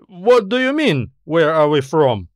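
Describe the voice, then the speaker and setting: A man's voice: on the high side, very animated, a little slow, no room sound, studio quality, pitched above the performer's own voice. A man voicing an earnest young soldier in a sci-fi audiobook, eager and cheerful, easily indignant and a little condescending. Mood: baffled. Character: earnest young freckled male space marine messenger.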